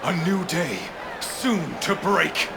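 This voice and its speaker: High-voiced, gravelly fanatical cult priest. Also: male voice, expressive, takes it slowly, very noisy, full character voice. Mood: exultant. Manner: declamatory, pompous preaching to a crowd. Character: fanatical male sun-cult priest, grandiose and bloodthirsty. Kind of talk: theatrical game cutscene oratory. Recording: loud music behind. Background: loud music.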